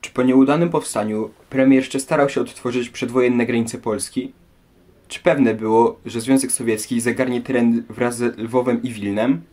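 Male host, normal pitch, reading out scripted questions about history. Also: steady tone, normal speed, a little mellow. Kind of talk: scripted presenting.

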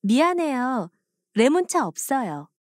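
High, lively young woman read by an actress for textbook dialogue audio. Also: measured pace. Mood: polite.

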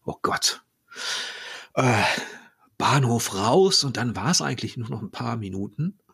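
Podcast host guy in his 40s, gravelly husky voice, asks stuff with lots of ähms. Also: medium pitch, unhurried. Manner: relaxed questioning with filler ums. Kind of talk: casual interview conversation.

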